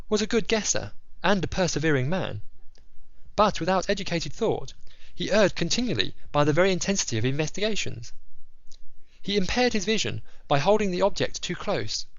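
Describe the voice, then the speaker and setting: A man's voice: on the high side, creaky, no echo, lo-fi sound, voice pushed up. A man playing a brilliant, disdainful detective who lectures with precise, analytical delivery in an audio drama. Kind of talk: audio drama character speech.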